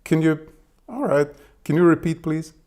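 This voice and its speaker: A man's voice: a little high, moderate pace, a little mellow. A man with a Serbian accent whose first language is Serbian, talking casually and hesitantly with many fillers. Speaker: video host testing an AI assistant. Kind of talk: casual chat.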